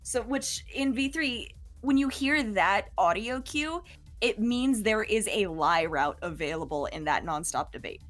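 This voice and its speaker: A woman speaks very slowly in a medium-pitched voice, chatting casually on a livestream. Faint music plays behind her. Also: lively intonation, a bit bright. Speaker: fandub voice performer and writer on a livestream. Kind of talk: casual chat.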